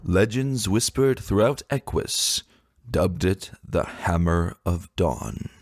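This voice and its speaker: A man giving a dramatic, scripted narration of a dark fantasy adventure story. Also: low voice, animated, slow pace, dry recording, clean studio sound. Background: music.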